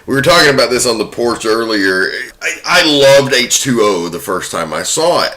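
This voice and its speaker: A man's voice: medium pitch, animated, normal speed, pushed and bright. A man hosting a podcast, talking casually and emphatically in a film discussion. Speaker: podcast host.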